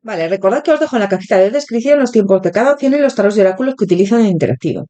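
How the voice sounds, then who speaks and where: A woman with a Spain Spanish accent, hosting an interactive tarot reading and speaking directly to her viewers. Her throat is still sore from a cold, and she talks in a chatty way with many filler pauses. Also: mid-range voice, animated, dark tone, dull audio. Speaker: tarot reader, channel host.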